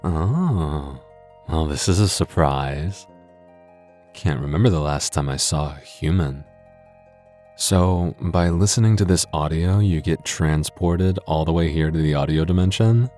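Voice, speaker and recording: A man's voice: rumbling low, a little slow, warm tone, soft background music. A man playing a coy, flirtatious masked host who addresses the listener in playful ASMR roleplay. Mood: mock surprise, coy.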